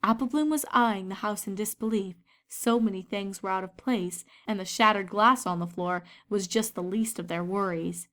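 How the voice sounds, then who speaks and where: A woman's voice: mid-range voice, normal speed, few pauses, a bit bright. A woman reading scripted story narration as the narrator of a fan fiction.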